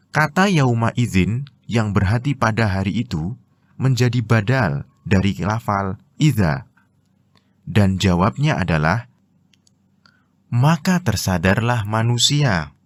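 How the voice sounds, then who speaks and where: A man with an Indonesian accent reading scripted religious commentary in a measured, explanatory way. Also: lowish voice. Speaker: narrator of Quran translation and commentary.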